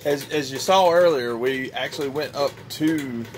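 A middle-aged man filming a casual road-trip vlog outdoors at night, retelling the day in a relaxed, chatty way. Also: mid-range voice, moderate pace, background noise. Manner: relaxed, chatty, loose storytelling. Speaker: vlogger on a baseball road trip. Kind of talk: casual chat. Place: outdoors beside a ballpark brick wall at night.

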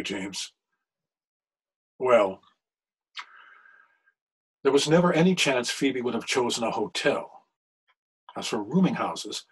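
Guy acting as an anguished bookish reverend in a radio play, formal and careful, bit raspy. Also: medium pitch, poor audio. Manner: formal, deliberate, measured diction. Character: anguished, scholarly older clergyman posing as crime boss. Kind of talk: period radio mystery acting.

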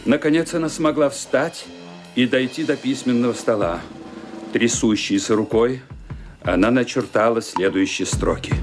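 Male narrator, dramatic, animated, music bed. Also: medium pitch. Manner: expressive, dramatic, suspenseful. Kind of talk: scripted radio play narration.

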